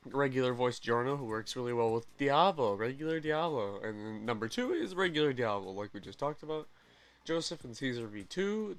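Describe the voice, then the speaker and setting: A young man hosting a gaming channel, chatting casually and excitedly through game news, often correcting himself mid-sentence. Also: medium pitch. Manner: rambling, self-correcting, excitable.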